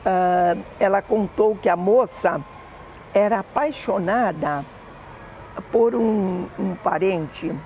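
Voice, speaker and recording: An older woman with a Brazilian Portuguese accent, fondly recalling family memories in an oral history interview, often pausing to correct herself. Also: mid-range voice, a little mellow, a little hiss or hum. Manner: fond, meandering reminiscing with self-corrections.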